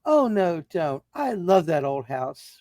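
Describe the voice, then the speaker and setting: A woman playing a sweet, wistful eighteen-year-old small-town girl, gentle and demure, in a radio play reading. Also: fairly low pitch, sing-song, slow pace, no echo.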